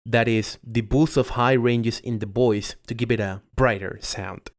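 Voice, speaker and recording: A man reading his own scripted voiceover in a measured, formal way, close to a USB condenser mic at home. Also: medium pitch, fairly even intonation. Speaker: video creator.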